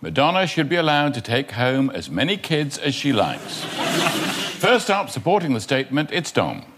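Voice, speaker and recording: A man's voice: medium pitch, wildly swooping pitch, moderate pace, background noise. A man hosting a comedy debate show, reading scripted links with dry punchlines. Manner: dry, punchline-driven delivery. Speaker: game show host. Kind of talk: scripted comic presenting. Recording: studio with loud crowd and music.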